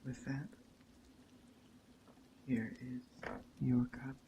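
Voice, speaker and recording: A man performs a gentle witch in a hushed ASMR roleplay. His voice is dark and creaky, and he speaks very slowly and deliberately, drifting into whispers. Faint nature ambience sits behind him. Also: mid-range voice, little pitch movement. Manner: hushed, slow, deliberate, often slipping into whisper. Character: gentle pagan witch teaching a traveler about a holiday. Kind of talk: ASMR roleplay, soft-spoken character monologue. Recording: dull muffled audio, faint ambient noise. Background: soft nature ambience of rain and forest.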